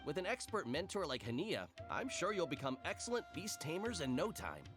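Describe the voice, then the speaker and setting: A man voicing a courteous, theatrical tournament staffer in a video game, welcoming and flattering. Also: on the high side, a little fast. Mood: courteous, encouraging. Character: courteous, showy male tournament staffer, eagerly welcoming contestants. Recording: game music behind.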